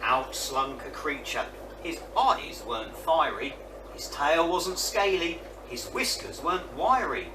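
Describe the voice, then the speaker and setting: A man narrating a children's picture book in a dramatic, expressive way. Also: fairly high pitch, takes it slowly, roomy sound. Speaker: story reader. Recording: distant mic with clear noise.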